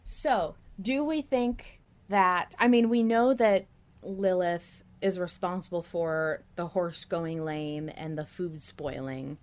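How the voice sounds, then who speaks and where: A woman co-hosting a podcast, chatting casually and enthusiastically about a story as a fan, with an expressive, giggly manner. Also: on the low side, slow pace. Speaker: podcast co-host. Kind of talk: casual fan discussion of an audio drama.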